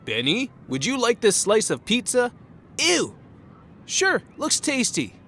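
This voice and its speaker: A man playing a defensive high school football player in an audio drama, sarcastic and easily indignant. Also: huge pitch swings, slow pace, a bit bright, a little hiss or hum, full character voice.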